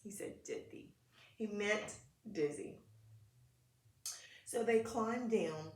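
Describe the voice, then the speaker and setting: A woman's voice: mid-range voice, slow. A woman reading a picture book aloud to preschool children in short, simple phrases, talking to them directly. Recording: echoey room.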